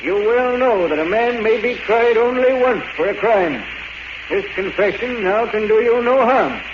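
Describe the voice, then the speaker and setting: Stern high-voiced judge, old radio. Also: male voice, lively intonation, a little slow. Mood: official, disapproving. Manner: formal, declamatory, authoritative. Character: stern, pompous courtroom judge. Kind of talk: 1940s radio drama acting. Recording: noisy, dull 1940s radio recording.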